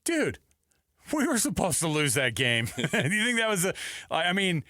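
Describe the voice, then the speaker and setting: An American man hosting live sports talk radio, casual, animated and slangy, on a close studio microphone. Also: a little high, moderate pace, dry recording. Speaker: sports radio show host.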